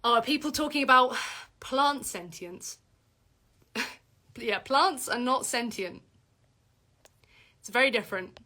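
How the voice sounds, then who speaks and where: A woman's voice: takes it slowly, long pauses, pushed and bright. A woman hosting a casual livestream Q&A, reading out viewer comments and answering with many fillers and restarts. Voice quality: deep voice for a woman.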